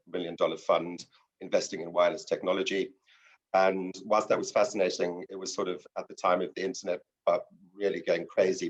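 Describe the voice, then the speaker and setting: A man in his forties from South Africa, a guest on a webinar interview, telling his story at an unhurried pace over a video call. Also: deep voice, breathy edge. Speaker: guest expert. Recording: dull lo-fi video call audio.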